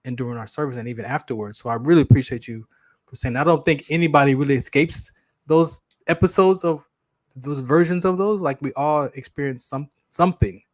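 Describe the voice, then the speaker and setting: A man's voice: mid-range voice, brisk, no room sound. Earnest host man, dry close sound. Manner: earnest, repeats words, says "right?" often. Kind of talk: casual interview talk.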